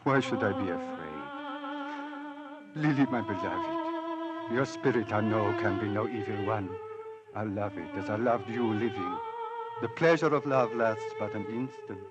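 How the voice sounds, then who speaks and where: An actor playing a lovestruck, grave prince, speaking in solemn declamation in an old radio drama. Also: male voice, fairly low pitch, measured pace, natural voice. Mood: tender, grieving. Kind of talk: 1940s radio acting. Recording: muffled old radio recording, music behind. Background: music.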